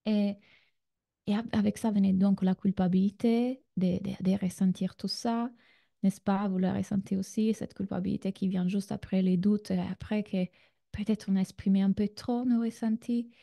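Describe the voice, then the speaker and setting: Italian-accented woman, very soft mellow voice. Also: mid-range voice, steady tone, moderate pace, gravelly. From Italy. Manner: addresses listeners directly with checking questions. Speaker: relationship coach, masterclass host. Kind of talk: conversational online masterclass talk.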